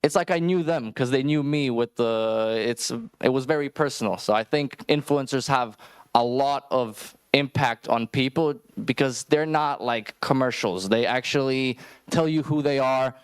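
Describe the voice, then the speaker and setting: A man's voice: mid-range voice, moderate pace, smooth, no room sound. A young man speaking casually as an influencer on a discussion panel. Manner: casual, relaxed, loose phrasing.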